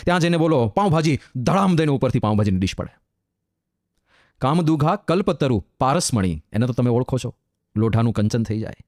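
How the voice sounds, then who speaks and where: A man giving a devotional sermon as a monk, chanting verses slowly and explaining them with emphatic, rising repetition. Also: medium pitch, expressive, darkish tone, dry recording, studio quality.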